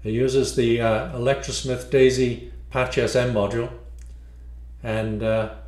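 A man's voice: mid-range voice, little pitch movement, measured pace, darkish tone. A man, explaining and demonstrating a product he designed. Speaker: module designer presenting a demo. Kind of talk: product demo, explanatory narration. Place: small room at home, indoors.

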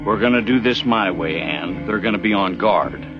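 An actor playing a stubborn young saloon keeper in an old TV western, with a plain-spoken delivery. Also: male voice, fairly low pitch, normal speed, lightly acted. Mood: resolute. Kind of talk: 1960s TV western acting. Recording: dull old TV soundtrack, faint music.

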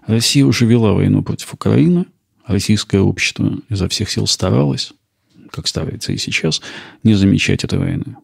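A man's voice: lowish voice, brisk, gravelly, breathy edge, no room sound, studio quality. A man hosting a podcast, reading scripted documentary-style narration. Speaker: podcast host.

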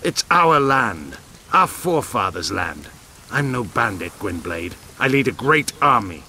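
An actor playing a proud, bitter elven rebel commander in a fantasy game, grave and declamatory. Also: male voice, mid-range voice, very animated, unhurried, pushed and bright, slight background noise, exaggerated voice. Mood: proud, fervent. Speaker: video game character actor. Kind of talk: game dialogue acting.

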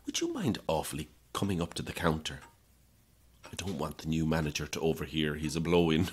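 Audio drama role: cautious, well-mannered hotel clerk, low-pitched, measured and discreet. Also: male voice, big pitch swings, darkish tone, husky, full character voice. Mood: polite. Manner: polite, careful, halting. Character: courteous, cautious male hotel receptionist. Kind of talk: naturalistic audio drama acting.

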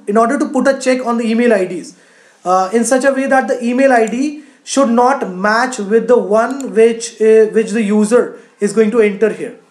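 A woman's voice: a little high, darkish tone. A woman with an Indian accent narrating a screencast tutorial as a coding instructor, explaining step by step with frequent verbal fillers. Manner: explanatory, frequent "you know". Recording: roomy, echoing voice-over.

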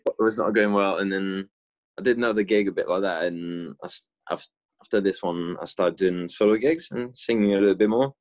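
Low-voiced young man on a video call. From France. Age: young adult. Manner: halting, many fillers and restarts, laughs easily. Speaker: guest musician. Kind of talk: casual video call interview. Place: inside a car.

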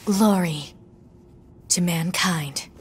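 A woman's voice: on the low side, very slow, slight background noise. An actress playing a stoic, reserved female android soldier in a video game, terse and restrained. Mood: stoic, subdued. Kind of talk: dramatic video game acting. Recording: music behind the voice.

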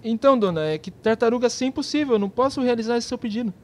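A woman doing a fast-talking cheeky genie. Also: on the high side, expressive, a little mellow, slight background noise, close to an everyday voice. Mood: placating. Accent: Brazilian. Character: cheeky, hurried male genie of the lamp. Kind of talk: cartoon comedy sketch acting.

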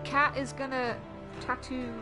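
A bright, higher-voiced young woman who is playful and sweary as she games with friends on voice chat. Game music sits clearly behind her. She gets excitable fast. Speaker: gamer on voice chat. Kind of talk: casual gaming banter.

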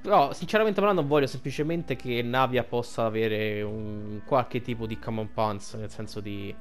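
A man's voice: mid-range voice, normal speed. An Italian man giving casual, rambling and crude commentary over a video game. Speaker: gameplay streamer commentator. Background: game music.

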